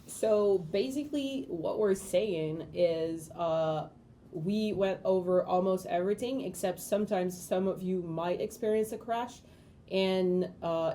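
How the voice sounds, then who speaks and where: Woman, stream co-host, mellow voice, relaxed, casual. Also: medium pitch, a little hiss or hum. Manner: relaxed, laughs easily. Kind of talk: casual livestream news chat.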